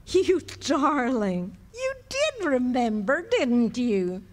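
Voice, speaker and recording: A fond, teasing wife who takes her time over each line, played by a woman. Her pitch swings widely, and a long hall reverb trails every word. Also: big pitch swings, full character voice. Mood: delighted. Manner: fond, teasing, drawn-out delivery. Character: patient teasing middle-aged wife. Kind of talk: 1940s radio acting. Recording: live stage in a hall.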